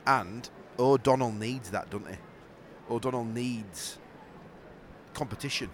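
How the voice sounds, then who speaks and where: A Yorkshire man co-hosting a podcast, chatting casually and excitably while watching football. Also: mid-range voice, measured pace, a little hiss or hum. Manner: excitable, laughing, reacting to the match. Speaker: podcast co-host.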